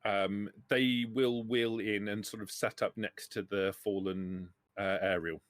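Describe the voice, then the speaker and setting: A man running a tabletop roleplaying game as game master, narrating in a halting way. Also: fairly low pitch, steady tone, no echo, studio quality.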